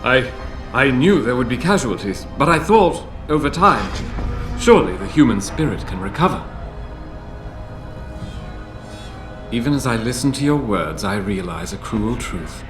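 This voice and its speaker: An actor playing an aging male tech visionary in a video game, grave and measured, breaking into anguished shouts. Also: mid-range voice, sing-song, lightly acted. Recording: roomy game audio with music.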